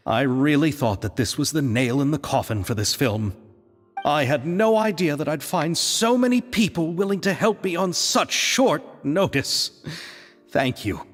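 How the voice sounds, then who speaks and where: A man's voice: mid-range voice, big-room sound, natural voice. An actor playing an earnest, polite and grateful male film producer in a video game, speaking formally.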